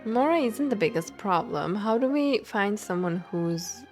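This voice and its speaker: A woman's voice: mid-range voice, lively intonation, measured pace, a little mellow, soft background music. A young woman streamer reacting casually to a game, thinking aloud and talking back to the characters.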